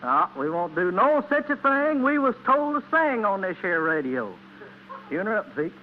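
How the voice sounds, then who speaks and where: An actor playing a brash, stubborn backwoods hillbilly with a cocky Southern drawl in radio comedy. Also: male voice, sits high, sing-song, moderate pace. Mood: brash, defiant. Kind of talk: 1940s radio comedy acting. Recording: muffled, noisy 1940s radio broadcast.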